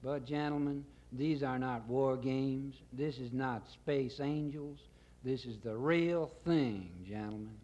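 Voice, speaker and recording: A man's voice: medium pitch, takes it slowly, darkish tone, lo-fi sound, close to an everyday voice. A man voicing a pompous, folksy Texan president making self-assured war speeches in a satirical poem. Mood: grandly confident. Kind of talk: satirical poetry reading.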